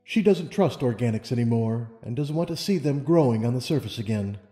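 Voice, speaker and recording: A man's voice: medium pitch, expressive, fairly quick, warm tone, lightly acted. A man voicing a wise, serene female pony ruler in a storybook reading, with solemn, formal speech. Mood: grave. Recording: long reverb, music underneath.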